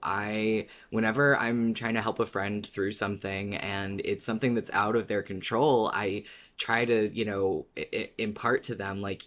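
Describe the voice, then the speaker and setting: Podcast co-host, mid-range voice, informal and conversational fan talk. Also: medium pitch. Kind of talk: casual fan discussion.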